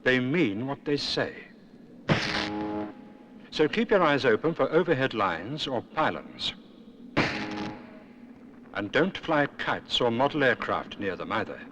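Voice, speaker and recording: A stern, wise cartoon owl instructs and admonishes in a mid-pitched voice. His intonation moves around freely. The old 1970s film soundtrack has a faint noise. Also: very animated, takes it slowly, pauses often. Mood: grave, advising. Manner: didactic, admonishing. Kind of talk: instructive public information film narration.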